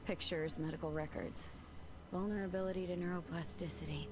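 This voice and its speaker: A woman's voice: fairly low pitch, fairly even intonation, fairly quick, noisy background, close to an everyday voice. An actress playing a tough, streetwise young female mercenary in a video game, speaking in terse, blunt, urgent phrases. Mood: focused, grim. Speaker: game character, protagonist V.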